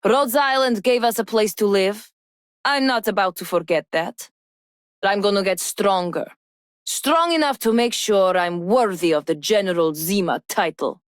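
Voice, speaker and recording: A woman's voice: mid-range voice, unhurried, no echo, studio quality, close to an everyday voice. A woman voicing a tough, ambitious young female fighter in a game, firm and challenging. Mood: determined, challenging. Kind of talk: game character voice line.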